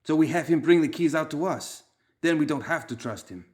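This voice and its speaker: A man playing a hard, curt leader with a Balkan accent who growls his orders. The voice is raised and forceful above the narration, clipped and commanding in a storybook reading. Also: fairly high pitch, a little fast, voice pushed up, close to an everyday voice. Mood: stern. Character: hard-edged male gang leader.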